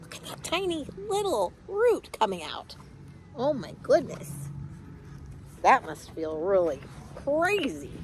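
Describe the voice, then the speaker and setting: Animated, high-voiced woman reading to children. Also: slow, background noise. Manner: expressive, chatty, exclaiming. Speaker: storyteller reading a picture book. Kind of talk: animated read-aloud for young children with casual asides.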